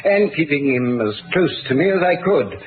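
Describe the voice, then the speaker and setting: A man's voice: on the high side, very animated, normal speed. A man with a British accent playing a proud, troubled middle-aged Duke in a 1960s radio drama, formal and earnest. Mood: confessional, pained. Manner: formal, earnest, hesitant in places. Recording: tinny, hissy old radio recording.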